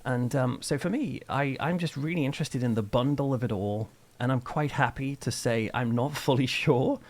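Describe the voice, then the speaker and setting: A man's voice: medium pitch, normal speed, a little mellow. A middle-aged man speaking as an interview guest, explaining ideas in a thoughtful, discursive, conversational way. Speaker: interview guest, church minister and author.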